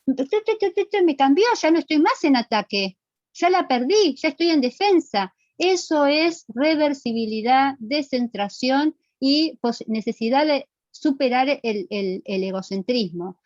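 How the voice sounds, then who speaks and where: A woman's voice: high voice, animated, a little slow, darkish tone, dry recording, lo-fi sound. A middle-aged woman with a Rioplatense Argentine accent lecturing to students in a didactic, emphatic way, checking they follow with short questions. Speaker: physical education lecturer.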